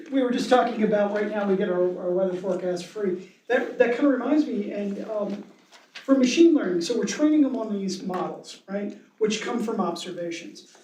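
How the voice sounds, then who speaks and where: A man's voice: high voice, darkish tone. A man asking a spontaneous question from the audience at a talk. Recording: echoey room, distant mic, faint noise.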